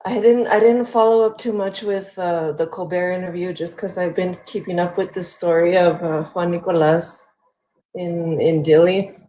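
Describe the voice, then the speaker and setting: An American woman with a slightly raspy, under-the-weather voice, chatting hesitantly as a livestream guest and repeating her phrases. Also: lowish voice, fairly even intonation, moderate pace, warm tone. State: recovering from sickness, throat-clearing. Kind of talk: casual political chat.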